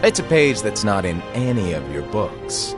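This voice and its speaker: An actor playing an eccentric, wise mentor who is absent-minded yet solemn, speaking deliberately and theatrically in a game cutscene. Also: male voice, fairly low pitch, very animated. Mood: grave, urging. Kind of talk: cinematic game cutscene acting. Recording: music behind the voice.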